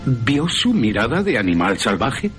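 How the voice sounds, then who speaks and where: A man's voice: medium pitch, big pitch swings, measured pace, fairly bright tone, faint music behind, pitched above the performer's own voice. A man voicing a sceptical young man, questioning and incredulous, with a Castilian Spanish accent, in radio storytelling. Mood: alarmed, indignant. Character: sceptical, baffled young man, slow to grasp.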